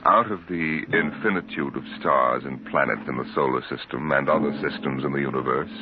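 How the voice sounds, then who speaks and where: Male announcer for a vintage sci-fi show, very deep, booming and portentous; tinny with clear hiss. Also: brisk. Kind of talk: dramatic radio announcing. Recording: tinny old radio broadcast with clear hiss.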